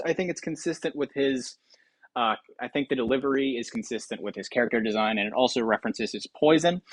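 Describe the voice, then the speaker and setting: A man gives casual gaming commentary as a video host. He sounds close and dry with a mid-range voice, hesitating and rambling as he thinks out loud. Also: medium pitch, no room sound.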